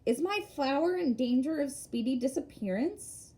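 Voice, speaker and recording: Storybook little prince: woman as a gentle young boy, high soft voice, wondering and persistent. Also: expressive, normal speed. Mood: curious. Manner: wondering, insistent questioning.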